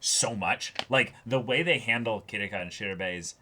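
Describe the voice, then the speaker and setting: A man co-hosting an anime reaction show, talking casually and haltingly, often repeating words as he thinks aloud. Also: fairly low pitch, sharp tone, slight background noise. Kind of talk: casual discussion of an anime episode.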